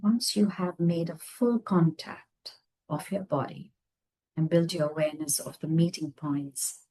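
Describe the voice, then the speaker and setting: Low, husky woman guiding meditation. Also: low-quality recording. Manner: gentle, unhurried phrases with long pauses. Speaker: meditation guide. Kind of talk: guided meditation, slow soothing instruction.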